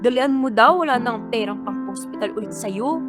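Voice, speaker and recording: A woman's voice: fairly high pitch, animated, normal speed, over music, natural voice. A Filipino woman playing a cheeky, impatient younger sibling in a radio drama, teasing and complaining.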